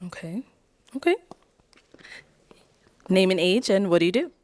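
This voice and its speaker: A woman hosts a dating game show in a brisk, directing way, running through the same questions for each contestant. Her voice sits at a middle pitch and is recorded close and dry. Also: sing-song, a little mellow, no echo.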